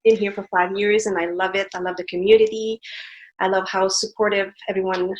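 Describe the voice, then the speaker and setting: A woman's voice: mid-range voice, flat delivery, a little fast, muffled recording. French-Canadian woman, chatty guest. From Montreal, Canada. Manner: chatty, warm storytelling with fillers. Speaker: guest artist. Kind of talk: casual interview chat.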